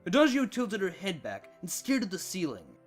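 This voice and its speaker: A man's voice: sits high, huge pitch swings, normal speed, breathy edge, soft background music. A man reading scripted storybook narration.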